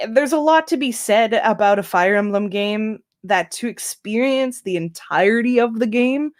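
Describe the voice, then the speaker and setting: A woman's voice: a little high, normal speed. A woman talking casually on a group podcast, giving her opinions in an emphatic way. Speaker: podcast panel member, fan dub organiser.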